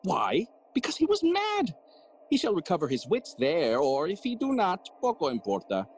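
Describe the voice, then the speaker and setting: An actor playing a jolly, cheeky gravedigger who riddles and teases in a theatrical bilingual Spanish-English Shakespeare audio play. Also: male voice, sits high, wildly swooping pitch, moderate pace, slight background noise, heavily acted. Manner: teasing, sighing and chuckling, theatrical.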